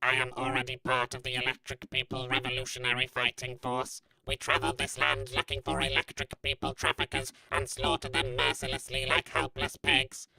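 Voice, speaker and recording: A man playing a bloodthirsty female android revolutionary in a comedy audio drama, robotic, deadpan and stilted. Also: medium pitch, moderate pace, hardly any pauses, crowd noise, close to an everyday voice, low-quality recording. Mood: coldly menacing.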